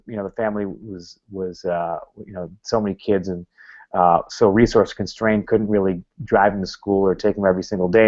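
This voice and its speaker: A man's voice: fairly low pitch, darkish tone. A man giving a talk to a club, rambling with many fillers and restarts. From Canada. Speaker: guest speaker. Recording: dull lo-fi recording.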